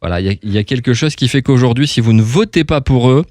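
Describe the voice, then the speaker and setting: A man's voice: medium pitch, animated, fast, darkish tone, no room sound, studio quality. A male radio host chatting casually and enthusiastically, rambling a little with frequent hesitations.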